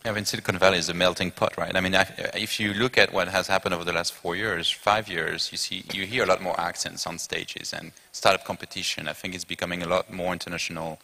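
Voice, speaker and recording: A man with a French accent speaking on a conference panel, eager and quick, often correcting himself mid-sentence. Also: low-pitched, flat delivery, gravelly, bright, forceful tone. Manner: fast, eager, self-correcting talker.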